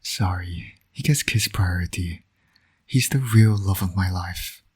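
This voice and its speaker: A man playing a flirtatious villain and doting father in romantic roleplay audio, speaking in a smug, teasing, intimate way with a breathy, often near-whispered voice. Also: low-pitched, a little slow, a little mellow. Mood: tender, teasing.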